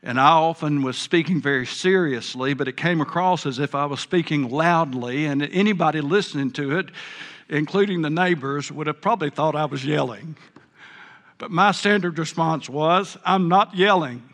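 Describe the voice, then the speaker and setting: A man's voice: a little high, moderate pace, fairly bright tone. An older man preaching a sermon, earnest and folksy, with a big voice. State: a bit hard of hearing. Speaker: pastor.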